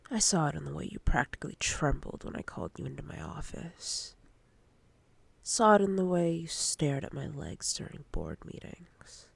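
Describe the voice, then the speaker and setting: A woman playing a domineering, menacing corporate boss in a breathy roleplay ASMR whisper, commanding and condescending in a deliberate way. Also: low-pitched, big pitch swings, no room sound, full character voice. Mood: smug, teasing.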